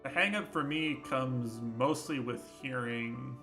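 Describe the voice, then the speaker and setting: A man's voice: medium pitch, slow, over music. A young man streaming a game, chatting casually and rambling through his thoughts with lots of fillers. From United States. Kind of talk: casual gameplay commentary.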